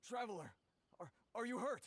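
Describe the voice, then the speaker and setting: A man's voice: very high-pitched, sing-song, moderate pace, full character voice. A man voicing a timid, earnest older merchant in a video game, hesitant and flustered in his speech. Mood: grateful. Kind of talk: video game character acting.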